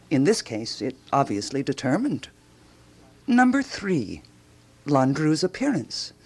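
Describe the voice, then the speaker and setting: Radio drama: ruminative female amateur sleuth, theorizing aloud, very animated intonation, deliberate dramatic pauses. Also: sits low, full character voice. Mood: theatrical pondering.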